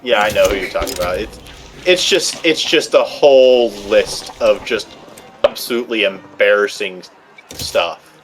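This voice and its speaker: A man's voice: lowish voice, expressive, normal speed, faint music behind. A man chatting casually over voice chat while gaming, rambling and restarting his sentences as he rants. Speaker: streamer playing a strategy game with friends.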